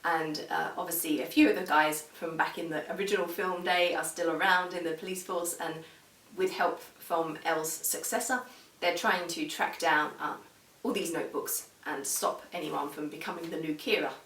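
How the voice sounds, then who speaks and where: Female reviewer, measured and conversational, lowish pitch, recorded at a distance from the microphone. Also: fairly even intonation, fairly bright tone. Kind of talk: casual video review. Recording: echoey room, distant microphone.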